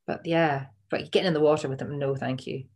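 A woman with a deep voice, a podcast guest chatting casually in an easygoing, slightly self-conscious way. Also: fairly even intonation, a little fast, a little mellow. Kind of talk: casual chat.

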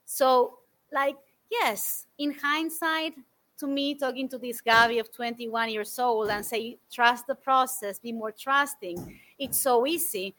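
A woman in her forties from Argentina, guest on an interview show, telling stories in an animated, thoughtful way with many fillers. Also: on the high side, takes it slowly. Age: 40s. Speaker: guest speaker. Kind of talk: interview answers, storytelling.

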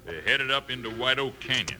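An actor playing a surly, scheming American outlaw boss with gruff, bossy speech in an old western radio drama. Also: male voice, medium pitch, expressive, fairly quick, lightly acted. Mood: menacing. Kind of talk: 1950s western radio acting. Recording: old radio broadcast with loud music.

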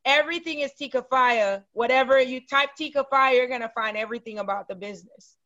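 A woman from Haiti, a business owner and podcast guest, talking in lively quick bursts and laughing often. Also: high voice, no room sound, lo-fi sound. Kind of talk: casual interview chat.

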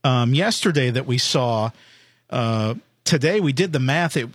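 An upbeat man hosts a live radio show from a studio, chatting along with plenty of fillers. His voice has a middle pitch. The recording is dry and close. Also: expressive, unhurried, dry recording.

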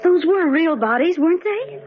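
Anxious young lady, high voice, muffled old radio mystery. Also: lively intonation, normal speed, over music. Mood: determined. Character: anxious, determined young stepdaughter turned amateur sleuth. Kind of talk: old-time radio drama acting. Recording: muffled old radio recording, faint hiss.

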